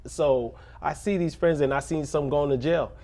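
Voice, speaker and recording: A man who grew up in a rough neighborhood, speaking as a pastor in a casual interview, earnest and preacherly, breaking into laughs and jokes. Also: fairly high pitch, normal speed, dark tone. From Little Haiti, Miami.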